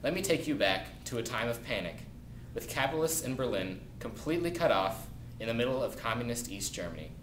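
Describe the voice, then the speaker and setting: A man's voice: medium pitch, very animated, a little fast, few pauses. A young man delivering a scripted news report as a reporter in an amateur newscast. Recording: echoey room with clear background noise.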